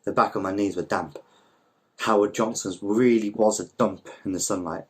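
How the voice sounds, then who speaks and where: A man with a fairly low voice narrates a short story. His delivery is measured and literary, like an amateur reading aloud from the page with the odd small stumble. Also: fairly even intonation, slightly rough. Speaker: volunteer story reader.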